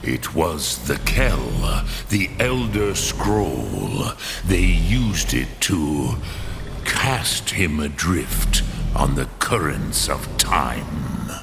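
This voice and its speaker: An ancient, wise dragon for a fantasy game, extremely low and very slow. He is solemn and patient, pondering between phrases in a heavy gravelly growl. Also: noisy background, heavily acted. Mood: pensive, explaining. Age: older. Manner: slow, ponderous, musing with long pauses. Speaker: mentor character in a fantasy game. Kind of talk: scripted fantasy game dialogue.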